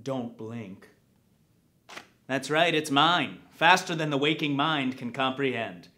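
A man's voice: mid-range voice, slow, bright, forceful tone. Casting: man as self-important speed-reading expert, pompous, formal comedy delivery. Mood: smug. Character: pompous, self-assured extreme speed reader. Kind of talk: character comedy monologue.

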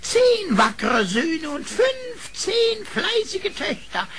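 A man's voice: very high-pitched, wildly swooping pitch, takes it slowly, fairly bright tone, slight background noise, heavily acted. An actor playing a grieving rooster father in a children's radio play, tearful, wailing and pleading. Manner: tearful, lamenting.

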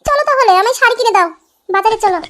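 A woman's voice: very high voice, rapid-fire, fairly bright tone, slight background noise, voice pushed up. A woman voicing a sulky, childish cartoon wife who whines and pouts in an exaggerated comic style. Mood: eager, demanding. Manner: whiny, pouting, petulant.

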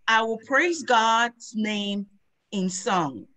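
A middle-aged woman, hosting a children's Bible lesson over a video call and slowly drilling memory verses in a sing-song teacher voice. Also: fairly high pitch, a loud crowd. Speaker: host of a children's Bible program.

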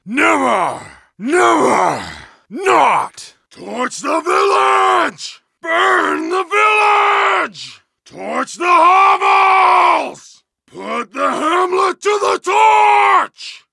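An actor playing a savage bearded medieval berserker in a game, barking battle commands in a gravelly, raspy roar. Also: male voice, extremely high pitch, bright, forceful tone, no room sound, clean studio sound, exaggerated voice. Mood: bloodthirsty roar. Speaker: game soldier character.

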